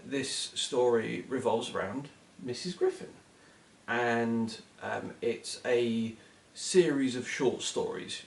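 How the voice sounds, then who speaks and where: A man co-hosting a casual book chat, giving recommendations in a hesitant, measured and thoughtful way. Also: mid-range voice. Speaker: book reviewer co-hosting short fiction recommendations. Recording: echoey room, distant from mic.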